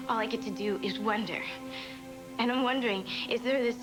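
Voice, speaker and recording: Young woman, twenties, tearful, raw, hushed to shouting, very high-pitched voice. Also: poor audio. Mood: bitter, shaken. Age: 20s. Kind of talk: TV drama acting.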